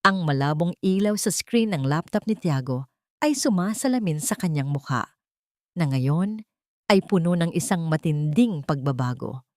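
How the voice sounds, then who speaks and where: A Filipino woman narrates an audio drama in a fairly low voice. Her delivery is emotive and deliberate, like a storyteller working through a scripted tale. The sound is close and dry, with very little room in it. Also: animated, dry recording.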